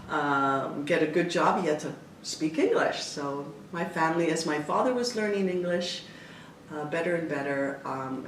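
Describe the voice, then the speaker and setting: A woman's voice: fairly low pitch, far from the mic. A woman from Canada whose first language is Italian, a dancer and choreographer talking expressively in an interview, often restarting her sentences. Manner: expressive, animated, many restarts and fillers. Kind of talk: reflective interview talk. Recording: echoey room, speaker away from mic, faint noise.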